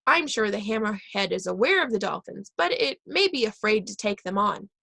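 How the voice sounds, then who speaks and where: A woman voicing a calm male marine expert in a storybook read-aloud, explaining in an informative tone. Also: mid-range voice, moderate pace, creaky, no room sound, exaggerated voice.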